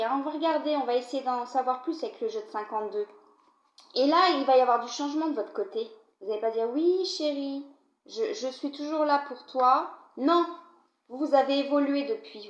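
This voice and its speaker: A woman with a cold giving a card reading, talking straight to the viewer in a chatty way and often checking in with the listener. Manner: conversational, addresses viewer directly with frequent "d'accord?". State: has a cold, coughing. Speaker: card reader. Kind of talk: tarot-style card reading to camera. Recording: low-volume, dull, roomy home recording.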